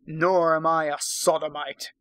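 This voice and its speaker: A man's voice: on the high side, little pitch movement, a little slow, fairly bright tone. A man voicing a stern, imperious Viking warrior from a romance novel, with snarling, haughty, archaic speech. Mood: indignant.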